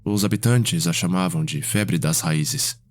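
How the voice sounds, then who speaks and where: A man playing a composed, fond vampire healer and elder brother in an ASMR roleplay, speaking in a measured, formal way. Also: low voice. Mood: composed, weary.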